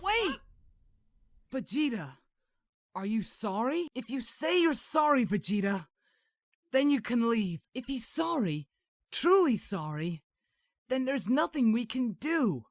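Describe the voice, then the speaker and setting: A man's voice: very high-pitched, very animated, takes it slowly, long pauses, no room sound, studio quality, exaggerated voice. A man voicing a naive, good-natured martial-arts hero in a cartoon, guileless and earnest. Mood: earnest pleading. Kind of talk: cartoon voice acting.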